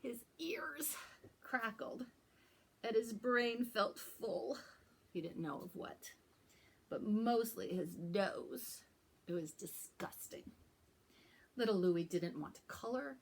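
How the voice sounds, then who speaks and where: A woman hosting a children's storytime as a librarian, reading aloud and talking to young listeners in simple, deliberate phrases. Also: a little high, expressive, breathy edge.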